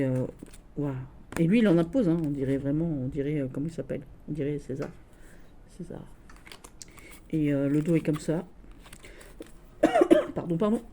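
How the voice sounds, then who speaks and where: Woman, Lorraine accent, lowish mellow voice, halting enthusiast; light background noise. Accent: Lorraine French. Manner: halting and gushing, many restarts and fillers. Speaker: tarot deck reviewer. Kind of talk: casual tarot deck showcase.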